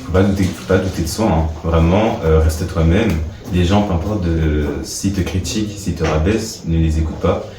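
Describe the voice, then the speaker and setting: A man's voice: low voice, normal speed, hardly any pauses. A young man taking part in a workshop, answering interview questions earnestly and repeating his points for emphasis. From France. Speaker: workshop participant. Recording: echoey room, mic at a distance, faint background noise.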